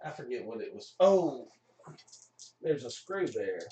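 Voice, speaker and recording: A man's voice: medium pitch, very animated, a little slow, dark tone, far from the mic. A man with a Southern US accent hosting a casual live stream, chatting in a jokey, folksy way. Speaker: livestream host and radio play performer. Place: indoor room, group sharing one mic. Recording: echoey room, voice off from the mic.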